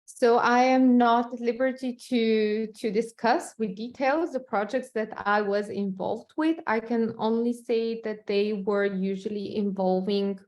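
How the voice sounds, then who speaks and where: A woman's voice: a little high, steady tone, measured pace, few pauses, darkish tone. A woman in her thirties from Bulgaria, speaking with a Bulgarian accent as she gives answers as an interview guest. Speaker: interviewee, product manager. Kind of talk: interview answers about career.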